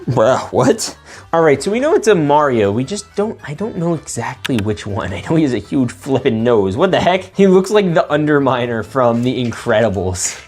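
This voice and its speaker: A man hosts a toy-building video in a casual, excitable way. His pitch leaps around a great deal as he throws out slangy exclamations and playful asides. Music plays softly behind him. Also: moderate pace. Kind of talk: excitable casual commentary while building toys.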